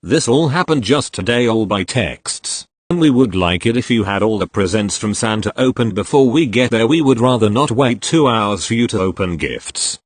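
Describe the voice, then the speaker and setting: A man's voice: lowish voice, animated, normal speed, few pauses, no room sound. A man narrating posts read aloud from an online forum in a plain reading voice. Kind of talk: scripted narration.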